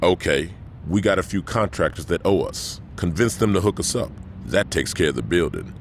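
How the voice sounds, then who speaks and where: An actor playing a cold, commanding male gang boss in a game cutscene, measured and authoritative. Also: low voice, animated, slight background noise.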